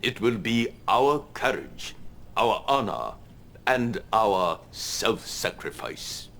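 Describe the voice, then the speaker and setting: An actor playing a stern older emperor who speaks slowly and ceremonially in a game cutscene. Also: male voice, fairly low pitch, very animated, creaky, a bit bright, a little hiss or hum, exaggerated voice. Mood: solemn. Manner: slow, grave and ceremonial. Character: stern aging male emperor, solemn warlord.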